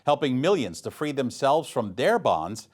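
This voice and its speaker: A man's voice: mid-range voice, sing-song, moderate pace, a little mellow, slightly rough. A man reading scripted local news as the anchor of a community newscast.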